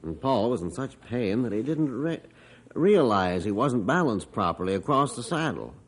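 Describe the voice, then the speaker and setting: Husky folksy rancher father. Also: medium pitch, very animated, moderate pace. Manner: folksy, matter-of-fact storytelling. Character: rancher father Mister Jorgensen, gruff storyteller. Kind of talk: 1950s radio drama narration.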